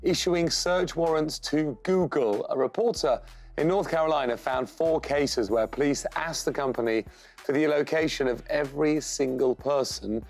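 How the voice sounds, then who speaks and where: A man co-hosts a news roundup with a somewhat high voice. He banters with wry, deadpan humor and states his opinions plainly. Faint music plays beneath him. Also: moderate pace. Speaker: co-host. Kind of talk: casual news chat.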